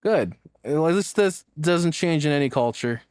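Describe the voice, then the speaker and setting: A man's voice: fairly high pitch, a little slow, clear, resonant tone, no echo. A man giving casual, rambling reaction commentary as a streamer, swearing freely, on a close mic. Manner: profane, rambling, expressive.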